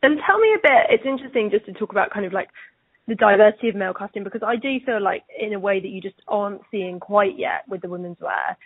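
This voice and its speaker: A woman's voice: mid-range voice, animated, fast talker, slightly rough, no room sound. A woman interviewer asking chatty, roundabout questions in a conversational interview over a phone line. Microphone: telephone call. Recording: tinny, band-limited phone line.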